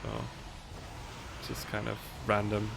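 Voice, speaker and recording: A man's voice: fairly low pitch, completely flat delivery, a little slow, gravelly, background noise. A man from the Netherlands whose first language is Dutch, chatting casually in commentary while playing as a speedrunner, with a pronunciation mixing British and American. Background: game music.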